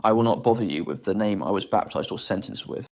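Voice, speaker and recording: A man's voice: lowish voice, quick pace, highs cut off, voice pushed down, close to an everyday voice. A narrator voicing an earnest, aggrieved young English bushranger man in an audiobook story. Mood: grave. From England. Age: 20s.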